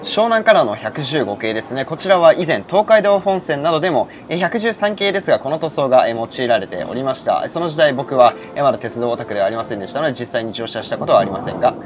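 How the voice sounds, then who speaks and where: A man's voice: medium pitch, expressive, fast talker, hardly any pauses. A man in his early twenties narrating a railway vlog with detailed, informative explanations. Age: early 20s. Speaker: railway vlogger. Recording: loud train and station noise.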